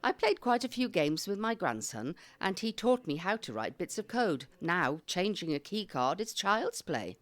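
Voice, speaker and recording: A woman's voice: lowish voice, very animated, normal speed, hardly any pauses, dry recording. An actress playing a capable, impatient retired woman who takes charge in an audio comedy, speaking. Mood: proud. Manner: decisive, impatient, commanding. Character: bossy, capable retired woman, sharp-witted care-home ringleader. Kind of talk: audio comedy drama acting.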